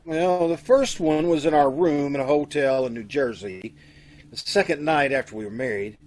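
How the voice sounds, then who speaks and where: A man's voice: on the high side, moderate pace, lightly acted, low-quality recording. An American man playing a cowardly, doting young bridegroom in an old-time radio comedy, bumbling and hesitant. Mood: flustered.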